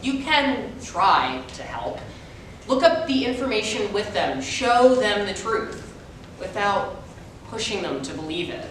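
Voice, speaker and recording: A woman's voice: big pitch swings, unhurried, fairly bright tone. A woman performing rehearsed dramatic narration as a reader's theater performer. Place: large echoey room, distant microphone. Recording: very echoey hall, distant mic, faint rumble.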